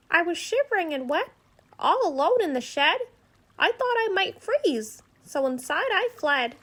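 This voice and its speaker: A woman voicing a tiny young male mouse, timid, polite and forlorn, with a small squeaky voice, for a children's storybook. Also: fairly bright tone, no echo, heavily acted.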